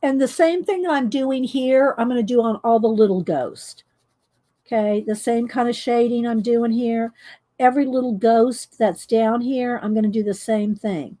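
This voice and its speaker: Middle-aged woman, loud carrying voice, slightly high; rambling, laugh-prone chat host. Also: moderate pace. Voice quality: loud voice that carries. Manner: chatty and rambling, laughs often. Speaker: coloring channel host. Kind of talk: casual chat while coloring on a livestream.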